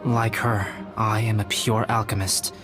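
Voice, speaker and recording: An actor playing a calm, scholarly young alchemist in a video game, speaking in a measured, formal manner. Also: male voice, on the low side, over music, natural voice. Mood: grave, measured. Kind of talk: scripted video game dialogue.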